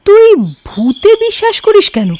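A woman's voice: very high voice, very animated, unhurried, mellow, pitched above the performer's own voice, heavily acted. A woman voicing a self-assured, skeptical young man in an audio story, easygoing, teasing and dismissive. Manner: easygoing, mocking.

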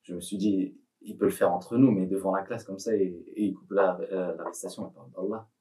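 Teenage boy, low voice, halting, restarts often, mic at a distance. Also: steady tone, warm tone. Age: young teen. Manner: hesitant, halting, many restarts. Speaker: guest. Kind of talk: casual podcast interview.

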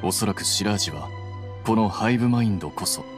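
A man's voice: fairly low pitch, lively intonation, fast talker, music in the background, close to an everyday voice. An actor playing a cool, analytical young male scholar in a Japanese anime game, explaining things in a composed, precise, matter-of-fact way.

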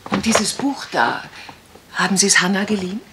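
A woman playing a curious, sharp-witted amateur detective in a radio crime drama, musing and probing conversationally. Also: mid-range voice, expressive, normal speed, close to an everyday voice. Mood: curious, polite. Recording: clear background noise.

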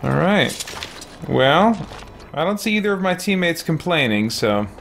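A man's voice: on the high side, sing-song, unhurried, vocal fry, fairly bright tone. Man musing over a game, noisy background. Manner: thinking aloud, musing. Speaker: let's play host. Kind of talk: casual gameplay commentary. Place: small indoor room with shelves.

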